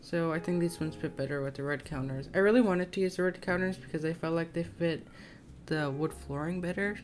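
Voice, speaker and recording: A woman's voice: lowish voice, brisk. A young woman with a slightly scratchy voice giving casual, tentative, rambling voiceover commentary on a game build. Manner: rambling, self-conscious, tentative. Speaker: gaming video creator. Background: soft plucked guitar music.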